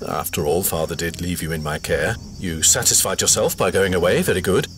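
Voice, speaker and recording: A man's voice: low-pitched, fairly quick, a bit bright, slight background noise. An actor playing a sarcastic, gloating colonial trader who mocks his rival in a radio play. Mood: sneering. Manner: sarcastic, mocking. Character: sneering, gloating colonial trader, a grown man.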